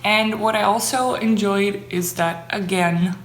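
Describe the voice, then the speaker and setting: A woman's voice: mid-range voice, steady tone, measured pace. Young Dutch woman vlogging in an echoey room. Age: young adult. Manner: enthusiastic, gushing about things enjoyed. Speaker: book vlogger. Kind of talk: casual chatty vlog. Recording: echoey room, faint background noise.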